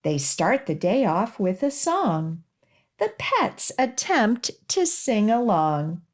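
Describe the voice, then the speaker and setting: A woman children's librarian reading a rhyming picture book aloud for young children, with expressive, measured emphasis on the rhymes. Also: mid-range voice. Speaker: children's librarian and storytime host.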